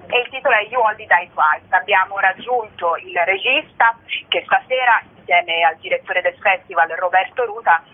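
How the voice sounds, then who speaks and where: A woman explaining things as an interviewed cinema programme director. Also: fairly low pitch. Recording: noisy, narrow phone-line sound on radio.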